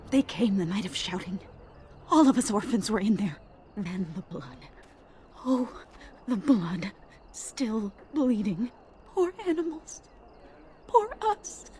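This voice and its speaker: A woman's voice: on the high side, darkish tone. An actress playing a traumatized, fearful orphan woman who rambles disjointedly, drifting between muttering and wailing. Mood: anguished.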